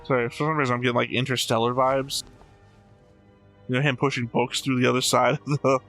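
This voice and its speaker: A man's voice: mid-range voice, flat delivery, brisk, a bit bright, soft background music. A man commenting casually on a show as a reaction host, in a wry, joking way.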